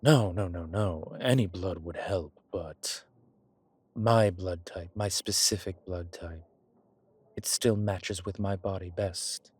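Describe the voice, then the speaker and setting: A man playing a courteous, centuries-old vampire in an intimate audio roleplay, with refined, measured speech, hesitant pauses and formal, old-fashioned wording. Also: low-pitched, a little mellow, husky, exaggerated voice. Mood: gentle, matter-of-fact.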